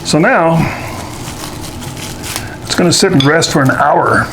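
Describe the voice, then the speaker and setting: A man's voice: on the high side, slow pace, vocal fry, noisy background. A man hosting a casual cooking demonstration at home, talking in a folksy way with joking asides. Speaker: show host. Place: indoors at home kitchen.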